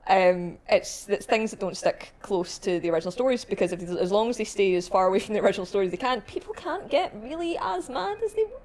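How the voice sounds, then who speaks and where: A woman's voice: mid-range voice, lively intonation, fast talker, hardly any pauses, darkish tone. A woman co-hosting a podcast in casual panel chat with fellow arcade staff. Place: arcade shop, indoors.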